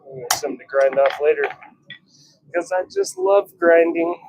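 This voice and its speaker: A man's voice: a little high, huge pitch swings, normal speed, gravelly, noisy background. A man giving casual livestream commentary as he works, muttering short, wry remarks to himself and his viewers. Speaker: livestream host forging knives. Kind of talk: casual livestream chat and running commentary while working. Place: blacksmith workshop with forge.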